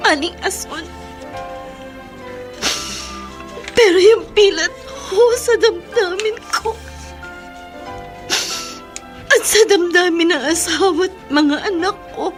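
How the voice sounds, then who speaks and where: Troubled Filipino mother, high voice, gentle, plaintive, polite, radio drama, music underneath. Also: normal speed. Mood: sorrowful. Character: troubled young mother and leprosy patient, gentle, respectful, fearful.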